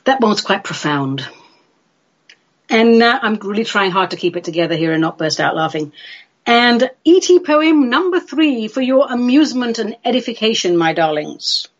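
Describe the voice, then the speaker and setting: Husky London woman, playful radio host. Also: a little high, very animated, normal speed, lo-fi sound. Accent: London English. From London, England. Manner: playful, theatrical, droll. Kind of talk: chatty solo talk show monologue.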